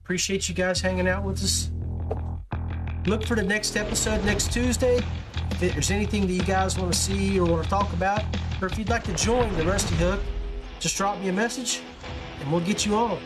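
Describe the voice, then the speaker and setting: A man's voice: high-pitched, steady tone, fairly quick, over music. A man with an American accent chatting casually as the host of a podcast. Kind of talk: casual chat.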